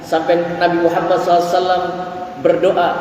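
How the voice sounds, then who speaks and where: High-voiced man preaching in an echoing mosque. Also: completely flat delivery, fast, darkish tone, a little hiss or hum. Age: 40s. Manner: stretches phrases, quotes Arabic verses. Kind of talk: dawn mosque sermon. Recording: echoey hall, voice from far off.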